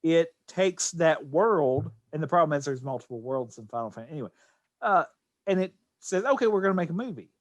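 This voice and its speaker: Higher-pitched man, close dry sound. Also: lively intonation, a little fast, no echo. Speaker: podcast co-host. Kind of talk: casual panel discussion.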